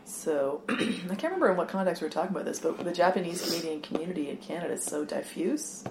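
A woman with a low, gravelly voice talks reflectively and haltingly in a casual conversation. The recording sounds echoey, with faint ambient noise behind. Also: animated. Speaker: guest. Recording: echoey room.

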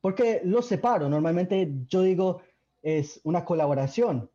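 A man's voice: high voice, moderate pace, warm tone, dull audio. A young man co-hosting a campus radio show, chatting in a casual, upbeat way with some fillers. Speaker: radio co-host.

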